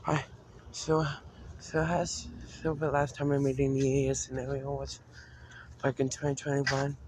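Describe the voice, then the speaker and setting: A man giving an unscripted vlog-style talk as a video creator, haltingly, often repeating and restarting his phrases. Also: medium pitch, completely flat delivery, darkish tone, slight background noise, poor audio. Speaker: video creator announcing a decision to fans.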